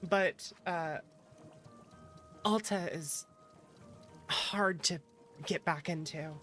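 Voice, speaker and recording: Woman, slightly low voice, unhurried, playful teasing streamer chat, music in the background. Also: a bit bright. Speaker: streamer, virtual YouTuber. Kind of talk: casual livestream chat with viewers.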